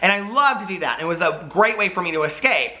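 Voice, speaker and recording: Middle-aged american guy doing a chatty book vlog, really bright forceful voice, rambles off on asides. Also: sits high, lively intonation, fast talker, slight background noise, rough recording. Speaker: book vlogger. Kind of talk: casual chatty vlog talk.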